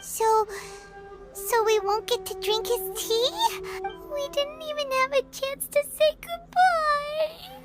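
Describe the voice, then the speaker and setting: An actress voicing a tiny, perky, naive girl sidekick in a video game, with a squeaky childlike voice and a casual, eager manner. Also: slow, over loud music. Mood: worried, let down. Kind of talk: cartoonish video game voice acting.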